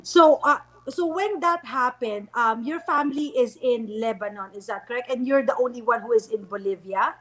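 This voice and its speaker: A woman's voice: on the high side, moderate pace, hardly any pauses, background noise. A woman from the Philippines, hosting a casual interview in an effusive, affirming way. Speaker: interviewer, host.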